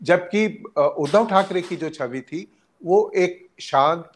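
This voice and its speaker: A man with a slightly high-pitched voice delivers political commentary at a slow pace. He speaks off the cuff like a journalist analysing events, often stopping mid-sentence to search for the next phrase. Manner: halting, with long hesitant pauses mid-sentence.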